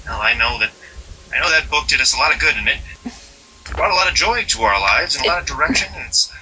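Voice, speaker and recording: A man playing an otherworldly trickster in a gentle dead husband's guise, folksy and hesitant with long pauses, in an audio drama. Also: on the high side, lively intonation, soft background music, rough recording. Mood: searching, patient. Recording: faint background noise.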